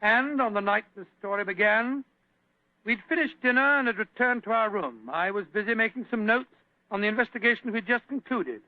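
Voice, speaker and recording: An actor playing an affable older male English doctor, narrating and chatting in a warm, bumbling 1940s radio acting style, on a dry, tinny old radio broadcast. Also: sits high, expressive, fairly quick, no room sound. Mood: genial.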